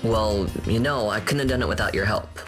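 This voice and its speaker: A man's voice: lowish voice, a little fast, music in the background, lightly acted. An actor playing a cocky young street boy in a video game, with a casual, boastful manner. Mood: eager. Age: young adult. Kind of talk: video game drama acting.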